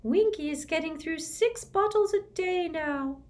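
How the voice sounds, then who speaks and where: A woman voicing a timid, loyal male house elf with a squeaky, earnest voice. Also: measured pace, darkish tone.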